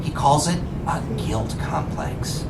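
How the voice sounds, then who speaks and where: A man's voice: on the high side, animated, slow, heavy background noise. A man playing an earnest, good-hearted janitor in a musical puppet show, with an eager, guileless manner. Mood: sheepish. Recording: distant, echoey room, loud show music.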